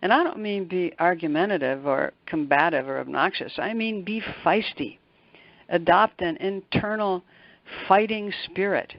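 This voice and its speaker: A woman's voice: on the low side, moderate pace, no echo, highs cut off. An older woman giving conversational interview answers as a motivational coach, emphatic and fond of repeating words for stress. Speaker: motivational speaker and executive coach, interview guest.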